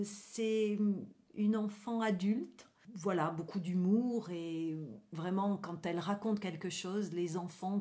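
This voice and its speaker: Mellow-voiced woman guest, effusive. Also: mid-range voice. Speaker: guest, graphic artist presenting a gallery event. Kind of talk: spoken radio interview, explanatory.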